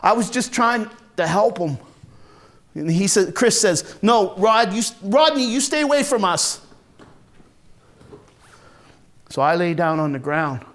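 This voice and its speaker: A man playing a volatile, hard-drinking, foul-mouthed Marine from a rough neighborhood, telling his story in a raw, halting dramatic monologue. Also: on the high side, a bit bright. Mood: hurt, defensive. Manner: raw, profane, halting then bursting into anger. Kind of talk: first-person dramatic monologue, conversational storytelling.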